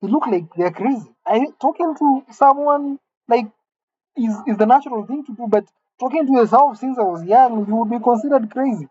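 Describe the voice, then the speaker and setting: A man's voice: very high voice, a little fast, warm tone, lo-fi sound, a little hiss or hum. Wry, rambling man in his late twenties. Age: late 20s. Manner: wry, rambling, self-mocking. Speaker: vlogger. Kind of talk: musing solo monologue to camera. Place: empty room.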